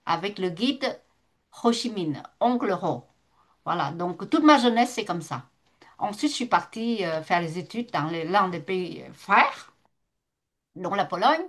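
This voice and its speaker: A woman's voice: medium pitch, a bit bright. Older lady w vietnamese accent, chatting on a video call, lots of euh and restarts. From northern Vietnam. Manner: halting, with many restarts and fillers. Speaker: guest. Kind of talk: casual online debate.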